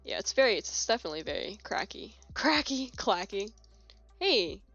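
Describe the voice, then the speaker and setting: A young woman streaming from her home desk, chatting casually in a rambling, relaxed way, a bit sniffly, recorded on a phone on a holder. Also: mid-range voice, normal speed. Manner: rambling, relaxed, many fillers and restarts. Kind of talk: casual live-stream chat while working on keyboard switches. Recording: slightly dull lo-fi phone mic with faint music. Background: faint music and keyboard clicking.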